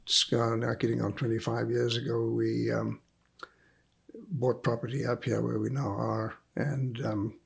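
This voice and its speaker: An older man with a South African accent, a guest telling stories in an unhurried, dry, self-mocking way. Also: mid-range voice, flat delivery, gravelly, breathy edge. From Zimbabwe and South Africa. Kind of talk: casual interview.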